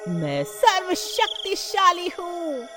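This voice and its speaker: A woman's voice: very high-pitched, wildly swooping pitch, takes it slowly, music in the background, pitched above the performer's own voice, full character voice. A woman voicing an evil, domineering witch in a horror story, boastful and commanding, with theatrical menace. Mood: boastful, menacing. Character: evil domineering witch who enslaves souls, boastful, cackling.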